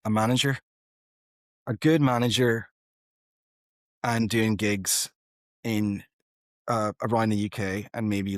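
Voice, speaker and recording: A man's voice: fairly low pitch, dry recording, clean studio sound. A man in his thirties chatting casually as an interview guest, earnest and informal, often rambling and breaking off phrases to start again. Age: 30s. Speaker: guest, rock band singer and guitarist.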